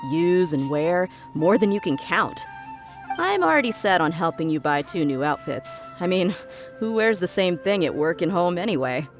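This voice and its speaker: Confident young woman, lowish voice, casual, breezy, friendly game character, faint music. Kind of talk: video game voice acting.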